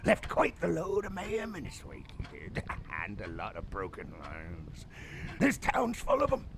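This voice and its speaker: A man's voice: mid-range voice, huge pitch swings, measured pace, fairly bright tone, slight background noise, heavily acted. An actor playing a drunk old seaman who spins grim tavern tales in a theatrical, ominous manner. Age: older. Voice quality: gravelly, creaky. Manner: theatrical, ominous, salty old-sailor phrasing. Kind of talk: theatrical horror audio drama.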